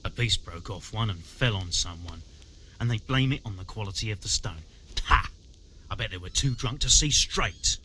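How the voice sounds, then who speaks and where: An actor playing a proud, indignant medieval quarry master in a video game, blunt, grumbling and defensive. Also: male voice, lowish voice, expressive, moderate pace, pushed and bright, slight background noise. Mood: aggrieved, defensive. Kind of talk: video game dialogue acting.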